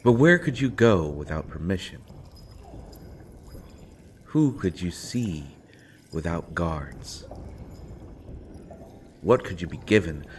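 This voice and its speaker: A very low-voiced, sardonic male villain acted by a man speaks slowly from his prison in an audio roleplay. He taunts theatrically, drawing out phrases with mocking pauses, over clearly audible background noise. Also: expressive. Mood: cold, menacing. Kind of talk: scripted audio roleplay acting.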